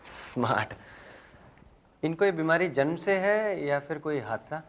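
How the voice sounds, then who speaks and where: An actor playing a calm, jovial doctor who reassures a family, teases gently and slips English phrases into his Hindi. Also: fairly high pitch, a little slow, a little hiss or hum. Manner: reassuring, lightly teasing, mixes English phrases. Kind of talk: 1990s Hindi film acting. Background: film score music.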